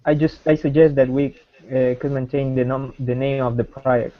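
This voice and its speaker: A man's voice: mid-range voice, level tone, moderate pace. A man asking questions and making suggestions as a participant in a casual work meeting. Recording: lo-fi meeting audio, faint noise, clicks.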